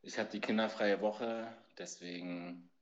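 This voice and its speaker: A man's voice: fairly low pitch, fairly even intonation, a little slow, muffled recording. A man in his forties from Berlin, a youth basketball coach telling stories as a podcast guest, a bit tired and hesitant. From Berlin, Germany. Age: 40s. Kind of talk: casual storytelling.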